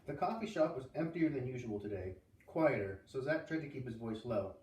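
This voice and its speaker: A man reading a novel aloud in an expressive storytelling style, now and then stumbling and rereading a phrase. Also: mid-range voice, fairly quick, slight background noise. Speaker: read-aloud reader. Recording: roomy echo, distant microphone.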